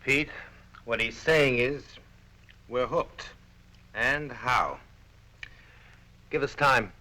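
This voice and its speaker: A scheming young soldier in an old film, very sharp voice, slow delivery. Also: male voice, medium pitch, rough recording. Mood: urgent persuading. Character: scheming young American soldier turned traitor, pragmatic.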